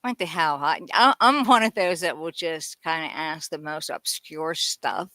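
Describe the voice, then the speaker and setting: Slow-talking bright-voiced woman host. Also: low voice. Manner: chatty, laughs often, asks rambling questions. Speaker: radio show host. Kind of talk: casual interview chat.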